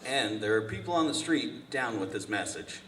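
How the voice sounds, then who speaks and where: A man's voice: medium pitch, big pitch swings, moderate pace, fairly bright tone. A man playing a sober TV news reporter at a live table read, with formal delivery. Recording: roomy, distant mic, faint noise.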